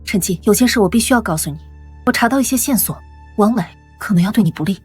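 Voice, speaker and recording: A woman acting a young resistance captain in an apocalypse animation. She speaks quickly and firmly, alert and no-nonsense, with music behind her. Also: medium pitch, expressive, darkish tone, natural voice. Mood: concerned. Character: resolute, wary young woman leading resistance squad. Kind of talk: dramatic dubbed animated-comic dialogue.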